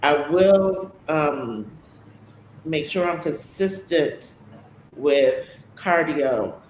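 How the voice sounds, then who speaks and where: A man's voice: on the high side, expressive, very slow. A man chatting casually as a podcast co-host. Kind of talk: casual conversation. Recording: echoey room with faint background noise.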